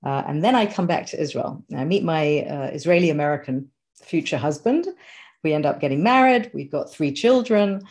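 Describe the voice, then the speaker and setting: A British woman in her forties, born in London, answering interview questions in a thoughtful, measured way with some restarts. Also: deep voice, lively intonation, darkish tone. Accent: British English. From England. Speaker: guest. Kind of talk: interview conversation.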